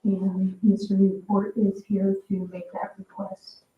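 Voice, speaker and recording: Female official, formal and monotone, introducing business through a tinny mic in a roomy space. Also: mid-range voice, slow. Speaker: town staff presenter. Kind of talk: formal agenda presentation. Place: public meeting room. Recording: tinny phone-like sound in echoey room.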